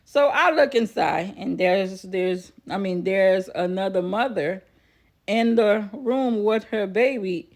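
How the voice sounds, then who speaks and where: A woman's voice: mid-range voice, takes it slowly. A woman telling a personal story casually to viewers, chatty and repeating phrases for emphasis. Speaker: vlogger.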